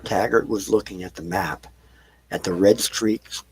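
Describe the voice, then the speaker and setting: A man narrating an audio play in an amateur, somewhat halting reading, with a gravelly, husky voice. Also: low-pitched, poor audio.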